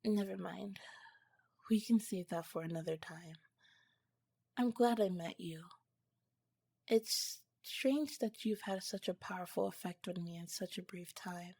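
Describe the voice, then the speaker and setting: A woman voicing a tender, curious alien scientist in an ASMR romance roleplay, speaking softly and formally in a breathy, whispery voice with some halting phrases. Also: on the low side, no echo, studio quality. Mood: smitten, shy. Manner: soft, halting, formal wording. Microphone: close, dry mic.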